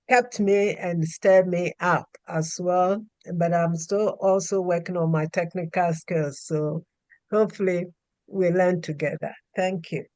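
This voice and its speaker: Slow-speaking earnest woman. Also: lowish voice, a little mellow, no echo. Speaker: healthcare practitioner introducing herself. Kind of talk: self-introduction on a group call.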